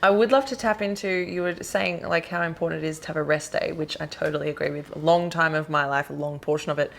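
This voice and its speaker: Female podcast interviewer, quick, curious, with noticeable vocal fry. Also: low voice, fairly even intonation, fairly bright tone, slight background noise. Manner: curious, effusive, reacts eagerly to answers. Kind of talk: casual interview conversation.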